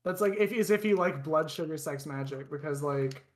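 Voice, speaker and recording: A man's voice: on the high side, normal speed. A young man co-hosting a podcast, chatting casually and rambling through stories with many restarts. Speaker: podcast co-host. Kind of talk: casual chat.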